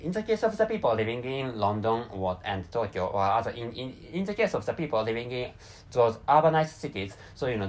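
A man with a Japanese accent, a Japanese native speaker, hosting a solo talk show in English with many restarts and repeated words. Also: medium pitch. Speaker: podcast host.

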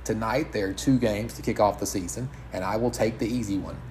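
A man hosting an informal solo podcast in a halting, measured way. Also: fairly low pitch, a little mellow. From United States. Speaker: podcast host. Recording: audible background noise.

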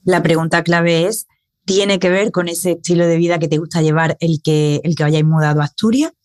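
A woman's voice: fairly low pitch, fairly even intonation, moderate pace, darkish tone, dry recording, clean studio sound. A woman hosting a podcast interview, asking questions in a chatty, enthusiastic way. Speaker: podcast host. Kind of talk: casual interview talk.

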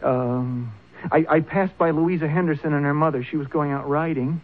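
An actor playing a cowardly, whimpering young son, stammering and nervous, in old-time radio drama style. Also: male voice, fairly high pitch, steady tone, moderate pace, lightly acted. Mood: frightened, pleading. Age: young adult. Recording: muffled phone-like old radio recording.

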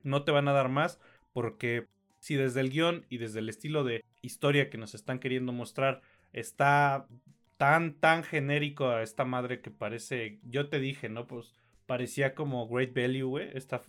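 A man with a Mexican accent talks through a film review on a podcast. His pitch sits in the middle for a man. He is conversational and opinionated, using plenty of slang. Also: steady tone, normal speed, a bit bright, soft background music. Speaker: podcast co-host reviewing films and series.

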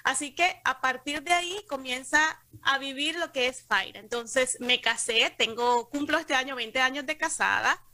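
Colombian woman, middle-aged, giving interview answers, really bright forward voice, close dry sound. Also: high-pitched, moderate pace, hardly any pauses, no echo. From Cartagena, Colombia. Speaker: interview guest, communications professional. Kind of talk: conversational interview answer, personal storytelling.

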